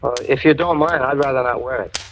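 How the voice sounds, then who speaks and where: Brooding young hired hand for vintage radio suspense, played by a man: mid-pitched, prim, prickly, accusing, on a lightly crackly old recording. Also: fairly quick, a bit bright, lightly acted. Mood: prim, uneasy. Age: young adult. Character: touchy, paranoid, resentful young handyman, menacing. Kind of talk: 1940s radio acting.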